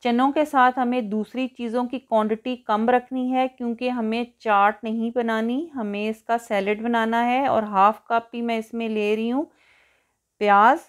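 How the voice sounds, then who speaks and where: A woman's voice: a little high, fairly even intonation, unhurried. A woman hosting a cooking tutorial in voice-over, explaining each step in an instructional way. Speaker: cooking show host.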